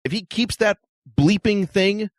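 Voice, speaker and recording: A man's voice: sits high, unhurried, no echo, studio quality. A man on a talk show panel, explaining things and giving his opinions in casual discussion.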